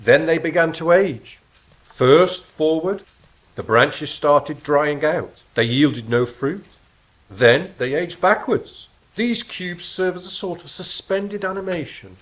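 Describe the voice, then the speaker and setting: Dreamy, earnest male angel for stage-style drama, acted by a man, slightly high voice. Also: takes it slowly, a bit bright, poor audio. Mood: solemn. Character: Legna, a dreamy, earnest, soothing angel helper.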